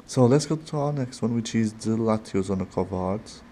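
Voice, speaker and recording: A man with a non-native accent opening trading cards at a desk, talking casually and haltingly with small laughs. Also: lowish voice, mellow, a little hiss or hum. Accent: non-native English. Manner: slow, halting, chatty with little laughs. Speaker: card-opening channel host. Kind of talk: casual card-opening commentary. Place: desk in a dim room.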